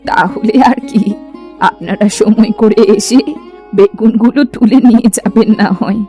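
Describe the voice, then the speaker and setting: A woman voicing a poor, humble old village woman who sells eggplants, meek and soft-spoken in a cartoon. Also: medium pitch, steady tone, moderate pace, lightly acted. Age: older. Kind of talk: cartoon voice acting. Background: background music.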